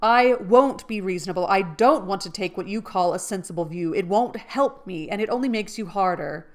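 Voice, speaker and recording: A woman voicing a passionate, heartbroken young man who pleads loudly and slowly. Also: medium pitch, lightly acted. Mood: pleading. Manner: intense, pleading, loud and slow.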